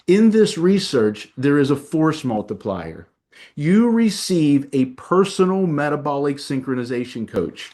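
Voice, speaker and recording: Man reading a measured sales pitch. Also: very animated, darkish tone. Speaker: doctor presenting a health program. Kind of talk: scripted promotional presentation.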